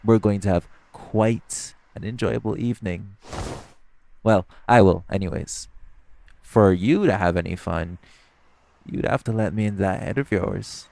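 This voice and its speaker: Man playing a deep, gravelly, cocky male vampire. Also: unhurried, darkish tone, slight background noise. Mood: teasing, cocky. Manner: smug, taunting, drawling, playfully menacing. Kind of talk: intimate roleplay audio drama.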